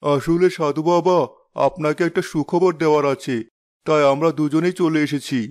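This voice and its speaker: Man playing a high-pitched fairy tale king. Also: fairly even intonation, moderate pace, darkish tone, close to an everyday voice. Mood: earnest, reassuring. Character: concerned, commanding fairy tale king and new father. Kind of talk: fairy tale animation voice acting.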